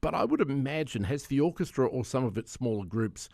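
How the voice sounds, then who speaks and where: A man's voice: medium pitch, expressive, brisk, creaky, darkish tone, dry recording, studio quality. A man interviewing on radio in a conversational style.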